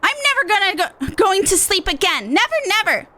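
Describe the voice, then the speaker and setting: A woman voicing an excitable, cheerful little pixie from a game, in a bubbly, theatrical manner, easily exasperated. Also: very high voice, normal speed, voice pushed up, exaggerated voice. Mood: indignant. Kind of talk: reading game dialogue in character.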